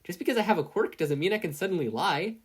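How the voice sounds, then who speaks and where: A performer voicing an excitable, earnest teenage boy superhero student in an audiobook style, eager and fretful. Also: fast, slightly rough. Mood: eager, flustered. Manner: eager, fretful, high-strung. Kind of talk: audiobook-style character acting.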